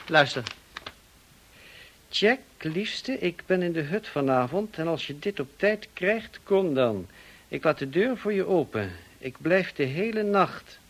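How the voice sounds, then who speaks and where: An actor in a radio play playing a smug, insinuating blackmailer with a mocking, drawling, falsely polite manner. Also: male voice, a little high, animated, measured pace. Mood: mocking, threatening. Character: smug, insinuating male blackmailer, cousin of the dead woman.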